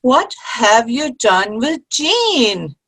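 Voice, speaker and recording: A woman's voice: on the high side, big pitch swings, very slow, fairly bright tone, slightly rough, exaggerated voice. A woman playing a wry, teasing older friend in a comic play reading. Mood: puzzled. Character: wry, indulgent old girlfriend at afternoon tea. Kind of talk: virtual play reading, comedy. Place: video call.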